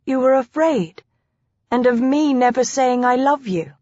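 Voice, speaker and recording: A woman's voice: mid-range voice, normal speed, darkish tone, no echo, lightly acted. A woman voicing a spirited, emotional young wife in a historical romance audiobook, with old-fashioned phrasing. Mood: surprised. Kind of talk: audiobook character dialogue. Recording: dry, slightly dull close recording.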